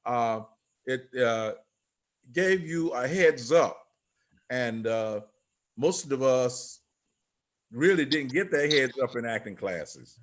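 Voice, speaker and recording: An older man giving informal remarks on a group video call in a halting, rambling way. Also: mid-range voice, expressive, a bit bright. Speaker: theatre company leader.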